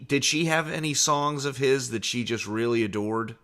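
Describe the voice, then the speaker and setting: A man's voice: mid-range voice, moderate pace, pushed and bright. A man asking casual interview questions in a polite, somewhat hesitant way.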